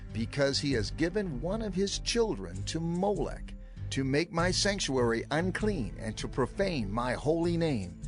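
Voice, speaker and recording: A man narrates scripture in a solemn, emphatic dramatized style. His mid-range voice moves very widely in pitch as he declaims, and music plays clearly behind him. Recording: music under the voice.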